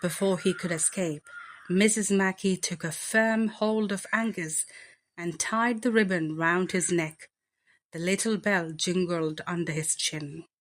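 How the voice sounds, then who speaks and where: A woman reads a picture book aloud for young children. Her voice sits a little low and she takes her time, very slowly, with deliberate and expressive phrasing. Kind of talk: slow read-aloud bedtime storytelling.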